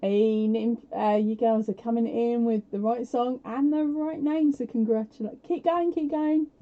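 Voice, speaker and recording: A woman hosting a live prize quiz on her stream, chatty and self-deprecating with lots of fillers, her voice a little croaky. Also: on the high side, fairly even intonation, unhurried. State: slightly croaky voice. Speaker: podcast host running a live prize quiz. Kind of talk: casual live-stream chat. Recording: dull lo-fi home stream audio.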